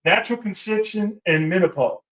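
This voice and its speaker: A man's voice: sits high, brisk, a bit bright. A man chatting and debating casually as a talk show panelist, often hesitating and repeating himself. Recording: echoey room, dull lo-fi sound.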